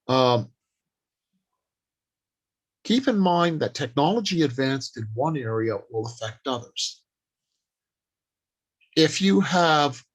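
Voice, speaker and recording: A man presents an informal workshop on the craft of writing at a slow pace. He delivers his advice deliberately, in short phrases broken up by pauses. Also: on the high side. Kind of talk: informal instructional talk on writing.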